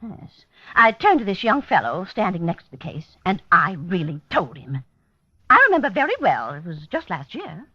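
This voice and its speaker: Indignant, husky radio witness. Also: female voice, fairly low pitch, sing-song, moderate pace, pushed and bright, dry recording, full character voice. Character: indignant, chatty female neighborhood witness. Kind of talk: 1950s radio acting. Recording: dull 1950s radio recording.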